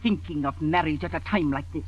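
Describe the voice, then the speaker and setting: A woman's voice: low voice, fairly quick, husky. An actress playing a cold, suspicious, domineering older mother in 1940s radio drama style. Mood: scornful, suspicious. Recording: muffled vintage radio broadcast.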